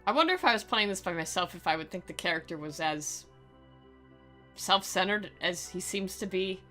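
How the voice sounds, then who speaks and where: A woman with a bright, sharp voice, a little on the low side, reads and comments on a visual novel. Her delivery is sarcastic and mock-dramatic, over faint music. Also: expressive, moderate pace. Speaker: game commentator and reader.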